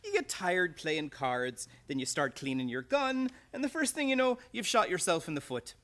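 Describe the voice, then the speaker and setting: An amiable beat policeman in a radio comedy. He is plain-spoken and good-natured, with a bright, forceful, highish voice and lively swings. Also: moderate pace. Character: amiable, folksy neighborhood policeman. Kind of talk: old-time radio comedy acting.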